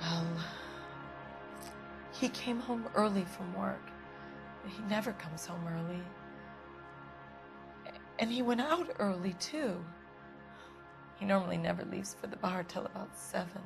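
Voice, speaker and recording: A prim, middle-aged American wife in a detective game drama. She is anxious and guarded, easily affronted when questioned. Music plays clearly behind her. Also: mid-range voice, measured pace, pauses often. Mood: guarded, worried. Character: prim, anxious, indignant wife of a missing man. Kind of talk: dramatic game cutscene acting.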